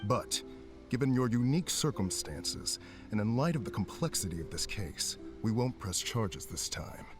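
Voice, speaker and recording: Stern formal officer. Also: male voice, on the low side, sing-song, normal speed. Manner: official, dry and authoritative. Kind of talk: video game voice acting. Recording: game audio with faint music.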